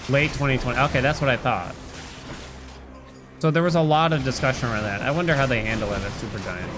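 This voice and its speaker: Guy streaming a game, kinda rambly n playful, chats to viewers, loud game music behind him. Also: medium pitch, fast talker. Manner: rambling, playful, breaks into nonsense sounds. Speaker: livestreamer. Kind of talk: casual game stream commentary, chatting with viewers. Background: video game music.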